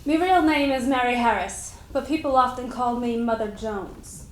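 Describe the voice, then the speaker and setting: A woman's voice: a little high, normal speed, slight background noise, natural voice. Woman playing feisty old labor organizer, echoey. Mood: wry. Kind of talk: first-person historical monologue, staged dramatic reading. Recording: echoey room.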